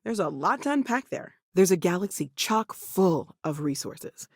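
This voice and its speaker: A woman's voice: on the low side, very animated, moderate pace, no room sound. Brainy lady scientist for a video game, earnest n a bit anxious, dry studio sound. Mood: focused, earnest. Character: earnest, brainy young woman scientist, eager but anxious.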